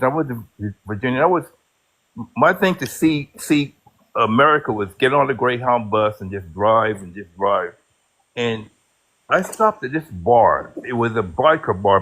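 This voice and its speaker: A man in his fifties from Barbados, a military veteran, telling a story casually in a halting way. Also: mid-range voice, a bit bright, poor audio. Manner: halting, many fillers and restarts while telling a story.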